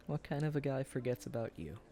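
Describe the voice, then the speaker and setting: A man playing a tipsy, earnest young man wooing his old sweetheart in a boyfriend roleplay, speaking casually and haltingly. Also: medium pitch, lively intonation, dark tone, lightly acted. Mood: earnest, wistful. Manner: halting, tender, casual, often chuckling. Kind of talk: boyfriend ASMR roleplay acting.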